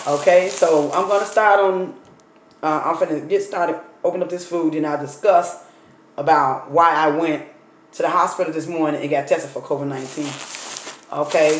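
Woman, 30s, slightly hoarse, casual chatty vlogger talking through her meal; phone on the table, echoey room, faint hiss. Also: low voice. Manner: chatty, talks while unpacking and eating food. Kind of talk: casual mukbang storytime chat. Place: dining table in a home room. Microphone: phone camera on the table.

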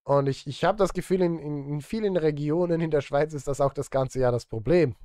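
A man in his thirties talks casually on a livestream. His voice sits a little high for a man. He addresses his chat in an easy conversational way, recorded close and dry with very little room sound. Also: a little fast, dark tone, no room sound. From Switzerland. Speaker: livestreamer and film music composer. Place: indoor home studio.

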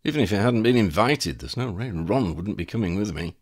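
A man's voice: fairly low pitch, expressive, brisk, darkish tone, pitched above the performer's own voice. A man voicing a stubborn young male wizard hero in an audiobook reading, brash and defiant. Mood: determined.

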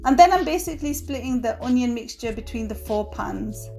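A woman's voice: on the high side, fairly quick, vocal fry, over music. Chatty woman narrating her cooking. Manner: relaxed, chatty, with fillers. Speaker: cooking vlogger. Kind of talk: casual voice-over narration.

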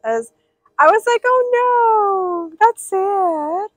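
A woman's voice: very high-pitched, lively intonation, extremely slow, no echo. A young woman livestreaming outdoors by a pool, rambling casually and reading out viewers' names. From Persian.

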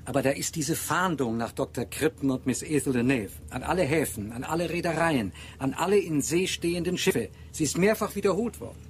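An actor playing a formal, deferential and earnest ship's officer in a German radio drama. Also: male voice, on the high side, expressive, fairly quick, few pauses, a little hiss or hum, lightly acted. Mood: deferential, insistent.

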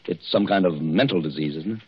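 Protective husband, lowish husky voice. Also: male voice, brisk. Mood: worried, disbelieving. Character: protective, sceptical young husband soothing his wife. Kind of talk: 1940s radio drama acting. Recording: muffled vintage 1940s radio broadcast.

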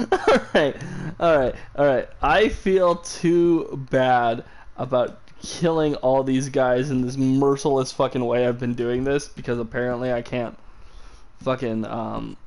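A man's voice: mid-range voice, measured pace, a little mellow. A young man casually commentating a video game stream, sarcastic and self-deprecating, swearing now and then.

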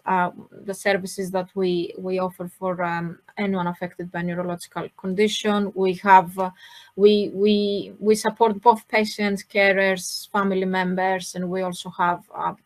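A woman's voice: mid-range voice, little pitch movement, a little slow. A woman with a Greek accent presenting her charity's services on a webinar over a video call, hesitant with many fillers and restarts.

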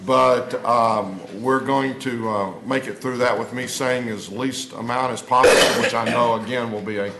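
An older Texan man with a hoarse, gravelly voice chairs a county meeting in a dry, brisk way. His words echo through a large courtroom with a faint hiss behind them. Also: medium pitch, fairly even intonation. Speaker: presiding county judge. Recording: echoey room with faint noise.

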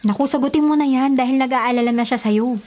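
A woman's voice: high-pitched, fast, a little mellow, lightly acted. A young woman acting as a skeptical, practical young cousin in a Filipino radio drama. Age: young adult. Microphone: studio mic.

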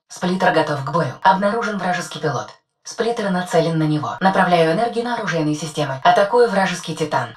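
A woman's voice: on the low side, distant mic, natural voice. A woman voicing a composed, dutiful female combat robot AI in a video game dub, giving clipped, formal military status reports. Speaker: voice actor.

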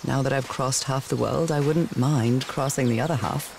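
An actress playing a wistful, curious 1930s woman explorer in a video game, thinking aloud in a gentle, articulate way. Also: a bass voice, normal speed, creaky, a little hiss or hum. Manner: gentle, articulate, musing, wonder-struck.

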